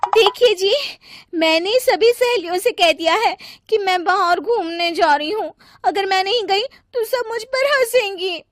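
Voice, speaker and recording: A woman's voice: very high voice, measured pace, dry recording, studio quality, voice pushed up. A woman voicing a young spendthrift wife who pleads insistently in a moral story. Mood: insistent pleading.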